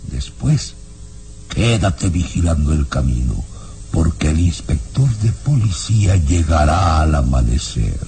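An actor playing a sinister castle baron in a radio serial, giving commanding, menacing orders slowly and deliberately. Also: male voice, rumbling low, big pitch swings, dark tone, slight background noise, exaggerated voice. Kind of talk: dramatic radio serial acting.

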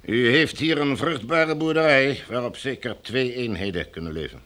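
An actor playing a formal, domineering military commander in a radio drama, smooth and coldly menacing. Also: male voice, mid-range voice, normal speed, a bit bright, lightly acted. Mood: firm, threatening. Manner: formal, officious, bureaucratic.

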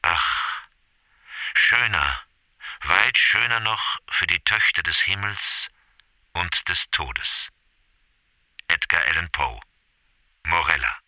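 A man's voice: low-pitched, lively intonation, takes it slowly, pauses often, pushed and bright, no room sound. A man giving a solemn literary recitation in German. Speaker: reader of an epigraph.

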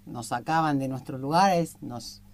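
A woman's voice: extremely low pitch, unhurried. A woman with an Argentine Rioplatense accent hosting a conversational interview, effusive and rambling in long-winded questions. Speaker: radio show host and interviewer.

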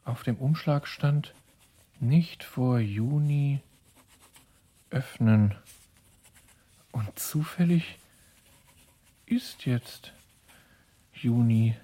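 An actor playing a guarded, soft-spoken young swindler in a German radio crime drama, speaking in a halting, measured way. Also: male voice, mid-range voice. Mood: measured dictation. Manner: slow, halting, measured delivery.

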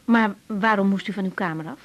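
An actress playing a young woman doctor in a 1980s radio drama, gentle and matter-of-fact in manner. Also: medium pitch, fairly quick, highs cut off, slight background noise, close to an everyday voice. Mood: calm, professional. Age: young adult. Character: young woman doctor, tender lover in private, patient at work.

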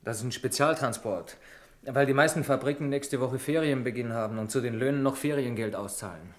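A man's voice: mid-range voice, a little fast, close to an everyday voice. A man acting in a German radio play as a friendly, talkative young bank employee who eagerly explains things. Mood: explaining. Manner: chatty, eager explainer, chuckling easily. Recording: echoey room.

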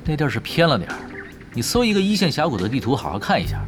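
Laid-back gamer boss, dry teasing, lively fast talk, music behind. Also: male voice, mid-range voice. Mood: dry, exasperated. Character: laid-back wry male gamer team leader. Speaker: voice actor, lead character. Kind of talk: Chinese radio drama dialogue.

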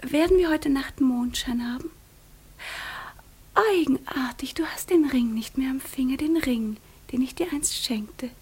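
Proud young noblewoman for classic radio drama: high-set, breathy, whispery, sharp-tongued and accusing. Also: measured pace, exaggerated voice. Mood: teasing, coquettish. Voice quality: breathy, often dropping to a whisper. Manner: theatrical, teasing, accusing. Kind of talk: German stage-classic radio drama acting.

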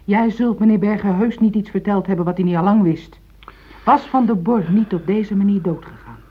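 An actress playing a pragmatic, pushy middle-aged wife, insistent and reproachful, in a radio play. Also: female voice, medium pitch, moderate pace, husky, lightly acted. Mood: reproachful, pressing. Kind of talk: Dutch radio play acting. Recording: thin, phone-like old radio play recording.

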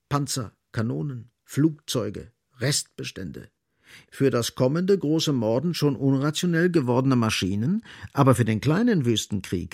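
An actor as the sardonic narrator of a radio play, delivering literary prose in a grave, emphatic, ironic way. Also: male voice, medium pitch, gravelly, slightly rough, full character voice. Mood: biting.

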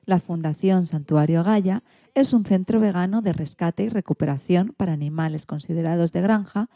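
Very mellow Spanish woman, close and dry. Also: fairly low pitch, no room sound. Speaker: radio show presenter. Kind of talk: scripted radio narration.

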